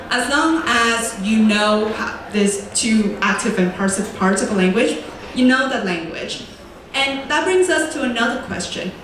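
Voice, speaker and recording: A young woman from Vietnam, a native Vietnamese speaker, gives a prepared talk at a middle pitch. She speaks in a clear, measured way and leans on key words. She is picked up from a distance in a large room with heavy echo and a faint hum of ambience. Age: young adult. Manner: clear, deliberate, slows to stress key words. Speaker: student presenter. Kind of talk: prepared lecture presentation. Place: large echoey lecture room. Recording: very echoey room, voice from far off.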